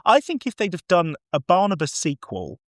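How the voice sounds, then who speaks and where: A man chatting casually about films, acting out bits of dialogue as he tells it in an animated way. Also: high voice, normal speed, no room sound, clean studio sound. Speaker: podcast guest film reviewer. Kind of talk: casual, chatty film discussion.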